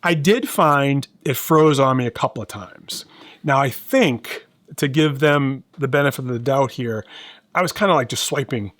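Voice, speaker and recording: A middle-aged man hosting a casual, conversational product review, explaining things in a measured way and speaking to viewers. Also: medium pitch, animated, a bit bright, no room sound. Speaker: gadget reviewer and host.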